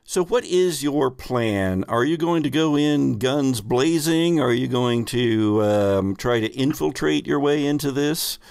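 Man, game master, fry-edged voice, casual narration, hesitant and playful, dry recording. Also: mid-range voice, lively intonation, measured pace, few pauses, a little mellow, no echo.

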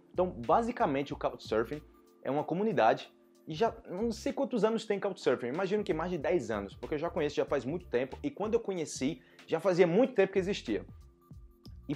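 Lively husky Brazilian man. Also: a little high. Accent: Brazilian Portuguese. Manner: lively, animated, acts out students' voices. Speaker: Portuguese teacher for foreigners. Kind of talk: casual talk-to-camera lesson.

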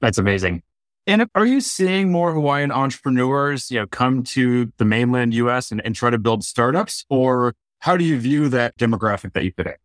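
A man's voice: mid-range voice, lively intonation, normal speed, no room sound, clean studio sound. A man hosting a podcast, asking questions in an upbeat, friendly way. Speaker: podcast host. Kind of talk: podcast interviewing.

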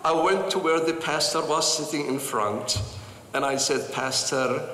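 An older man from Palestine telling personal stories to an audience with earnest, animated delivery and frequent pauses. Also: on the high side, slight background noise. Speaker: speaker. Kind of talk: personal storytelling talk. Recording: echoey hall with light ambience.

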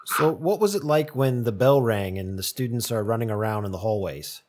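A man hosting an interview, asking casual questions with halting restarts. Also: medium pitch, animated, a little mellow. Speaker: interviewer, host.

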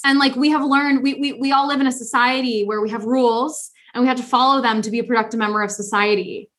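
A young woman co-hosting a podcast, chatting casually in an animated, sarcastic way and often laughing. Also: fairly high pitch, fast. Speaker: podcast co-host. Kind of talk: casual chat.

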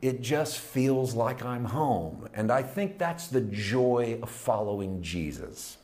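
A man preaching a sermon, building with repeated phrases and dropping to hushed, intimate passages. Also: mid-range voice, expressive, slow. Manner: emphatic, repetitive preaching cadence, swings from loud to hushed. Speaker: pastor.

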